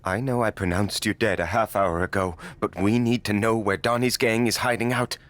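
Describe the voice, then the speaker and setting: A man's voice: fairly low pitch, fairly even intonation, normal speed, fairly bright tone, lightly acted. A man playing a gushing, pretentious film director in a video game cutscene, effusive and theatrical. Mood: dramatic line reading. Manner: theatrical, effusive, touchy-feely.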